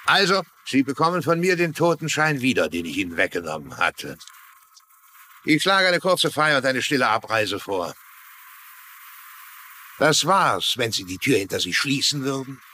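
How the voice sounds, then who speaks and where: Dry, bright-voiced, fed-up sheriff. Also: male voice, mid-range voice, very animated, normal speed, pauses often, a little hiss or hum. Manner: dry, sardonic, official. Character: weary, gruff small-town American sheriff, fed up. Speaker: voice actor in a dubbed crime series. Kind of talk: dubbed TV crime drama acting.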